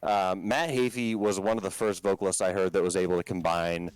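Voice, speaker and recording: A man with a low talking voice, a band's vocalist as a podcast guest, chatting casually and rambling with many fillers and restarts. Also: steady tone, a little fast, smooth, no echo, poor audio.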